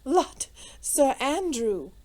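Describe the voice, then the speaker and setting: A woman's voice: a little high, expressive, measured pace, mellow, pitched above the performer's own voice, poor audio. Woman acting a merry, teasing society lady. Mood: merry mockery. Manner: playful, mocking.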